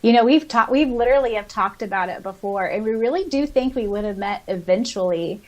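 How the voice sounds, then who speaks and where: A woman's voice: medium pitch, fairly quick, darkish tone, lo-fi sound. A woman co-hosting a podcast, telling personal anecdotes in an informal, conversational way. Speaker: podcast co-host. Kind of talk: casual chat, personal anecdotes.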